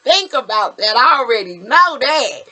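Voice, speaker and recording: A woman's voice: a little high, sing-song, moderate pace, pushed and bright. A woman, chatting casually as an interviewer in a blunt, familiar and encouraging way. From Chicago, United States. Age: 50s. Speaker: interviewer and host.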